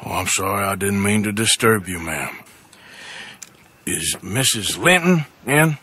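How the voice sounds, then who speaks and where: An actor playing a sardonic, gruff American outlaw enforcer with a husky voice in a Western game. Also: male voice, lowish voice, lively intonation, a little slow, bright, forceful tone, full character voice. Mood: awkward, subdued. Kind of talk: dramatic game cutscene acting.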